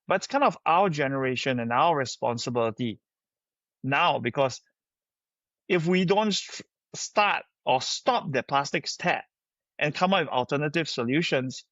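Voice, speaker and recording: A man's voice: a little high, a bit bright, no room sound, dull audio. A middle-aged man with a Singaporean accent, answering as a podcast guest in a conversational, anecdotal way. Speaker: company founder, podcast guest.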